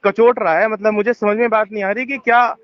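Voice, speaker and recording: Man on a phone line, high-pitched, rambling and defensive, packed with fillers, muffled call audio. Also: fairly even intonation, a little fast. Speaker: phone caller. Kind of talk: tense phone conversation.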